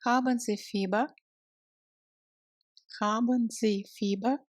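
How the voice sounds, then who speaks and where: A woman narrating a language lesson, reading short scripted phrases deliberately and separating the words for learners. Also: a little high, steady tone, no room sound, studio quality.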